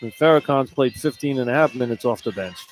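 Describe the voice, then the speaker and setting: A man's voice: medium pitch, fast talker, a little hiss or hum, low-quality recording. A man doing unscripted halftime radio commentary on basketball in a conversational, blunt and critical manner.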